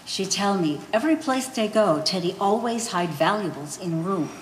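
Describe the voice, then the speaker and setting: A woman's voice: mid-range voice, lively intonation, moderate pace, lightly acted. A woman playing a blunt old mother who speaks broken English with dropped articles in a live one-act stage play. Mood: urgent, pleading. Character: blunt old immigrant mother of a grown son. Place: art gallery stage reading. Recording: roomy echoing hall, faint noise.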